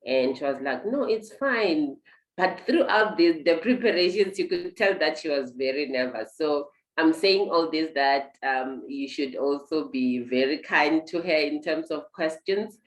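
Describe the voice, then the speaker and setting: A woman hosting an online interview, talking at length and gently encouraging her guest. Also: deep voice, animated, a little slow, reverberant, low-quality recording. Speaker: host.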